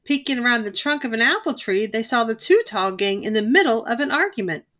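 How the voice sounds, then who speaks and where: A woman reads a children's picture book aloud with lively expression. Her voice is bright and somewhat high. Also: fairly quick. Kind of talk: read-aloud storytime narration.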